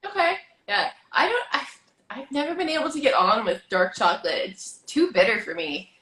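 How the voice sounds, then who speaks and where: Bright giggly woman in an echoey room. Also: on the high side, expressive. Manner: chatty, giggly, asks quick-fire questions. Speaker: host. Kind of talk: casual video chat interview.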